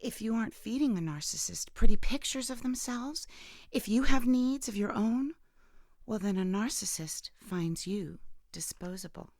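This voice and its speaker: Woman acting as bitter wounded wife turned killer, audio drama monologue, creaky voice, dry close sound, deliberate delivery. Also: medium pitch, lively intonation, darkish tone, no echo, close to an everyday voice. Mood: hurt, accusing. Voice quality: gravelly, creaky. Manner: halting, deliberate, intimate, emotionally charged. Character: bitter, wounded imprisoned wife who killed her abusive husband.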